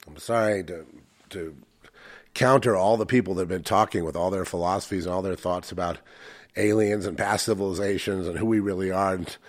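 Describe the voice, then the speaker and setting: A man's voice: deep voice, steady tone, fairly quick. An older man with a head cold talks in a rambling, reflective way and often stops to correct himself. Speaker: channel host. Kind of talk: casual spiritual monologue.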